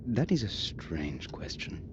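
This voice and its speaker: Stiff formal castle lord in a game, really deep voice, noisy audio. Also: male voice, very animated, dull audio. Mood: dismissive, guarded. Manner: formal, measured, dismissive. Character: aloof dismissive lord of an old castle. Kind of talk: scripted video game voice acting.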